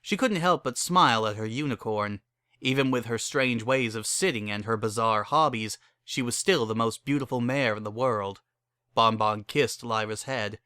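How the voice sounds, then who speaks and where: A man reads story prose as a narrator. His voice sits at a middle pitch with a bright, forward tone, and the recording is dry with very little room. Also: moderate pace, clear, resonant tone, no echo. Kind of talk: scripted narration of a fan fiction story.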